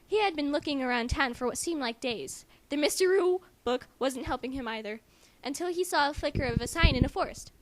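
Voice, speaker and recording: High-pitched woman reading a story. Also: lively intonation, brisk, few pauses. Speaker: amateur story reader and commentator. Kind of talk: mock-dramatic reading with casual commentary. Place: friend's house. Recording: faintly noisy amateur home recording.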